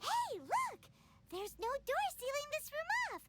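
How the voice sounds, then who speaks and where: Game role: tiny excitable fairy sidekick; extremely high, squeaky child voice with wildly swooping intonation. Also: female voice, measured pace, very noisy, full character voice. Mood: excited. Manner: exclamatory, refers to self in third person. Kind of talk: scripted video game voice acting. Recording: game audio with music underneath. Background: game music.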